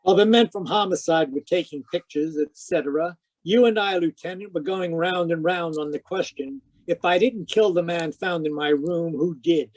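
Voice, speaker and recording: A man's voice: a little high, normal speed, few pauses, close to an everyday voice, low-quality recording. A man acting a wry, persistent hard-boiled private detective in an old-time radio mystery, telling it matter-of-factly. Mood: wry narrating. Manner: wry, matter-of-fact storytelling. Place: close dry sound, remote live performance.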